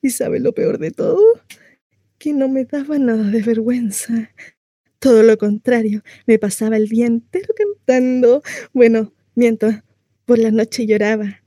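A woman's voice: on the high side, fairly even intonation, unhurried, dark tone, no echo, clean studio sound. A woman acting a chatty, naive, mistreated housewife in a comic stage monologue, confessional, rambling and often interrupting herself. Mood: coyly confessional. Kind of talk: theatrical comic monologue addressed to a listener.

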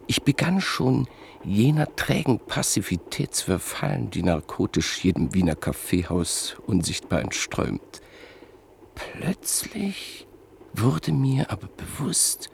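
A man tells a story in a radio play, in a very husky, gravelly voice that often sinks to a near whisper. He speaks slowly, and his pitch rises and falls widely. The sound is close and dry. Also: dry recording. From Vienna, Austria. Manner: measured storytelling, often dropping to a hush. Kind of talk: 1950s radio drama acting.

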